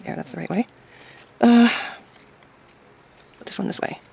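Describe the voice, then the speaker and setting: Hoarse, very creaky woman crafting. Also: a little high, measured pace, warm tone. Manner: hesitant, musing to self. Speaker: craft video maker. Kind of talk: casual crafting chat, thinking aloud.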